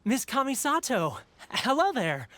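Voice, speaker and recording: A woman's voice: high-pitched, very animated, unhurried, fairly bright tone, full character voice. A woman playing a festival stallkeeper in a video game, effusive, chatty and deferential. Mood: welcoming.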